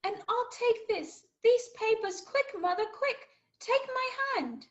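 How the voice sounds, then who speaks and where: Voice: very high-pitched, expressive, unhurried. A performer playing a frightened, dutiful fourteen-year-old boy in a radio play, urgent and pleading. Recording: dull, noisy recording.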